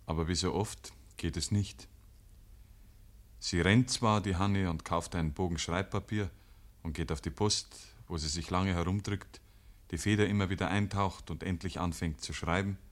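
A man narrating a rural tale in a scripted literary radio-play style, as a measured storyteller. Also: low-pitched, fairly even intonation, natural voice.

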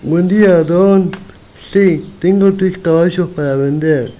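A man's voice: high voice, slow pace, mellow, rough recording. A man playing a frank, kindly village blacksmith who sells horses, speaking plainly in an amateur radio play. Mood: frank, friendly. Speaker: amateur radio theatre actor. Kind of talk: radio theatre acting.

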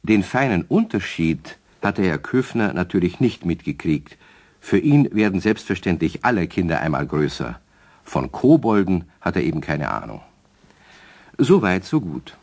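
A man playing the wry narrator of a children's tale, with a husky voice. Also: low-pitched, sing-song, normal speed, a little mellow, full character voice. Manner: wry, storytelling. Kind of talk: scripted children's story narration.

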